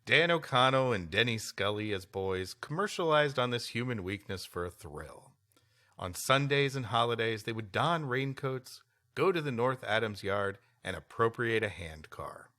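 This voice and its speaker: A man's voice: mid-range voice, expressive, moderate pace, sharp tone. A man co-hosting a podcast, reading history aloud and adding dry, playful asides. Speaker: podcast co-host.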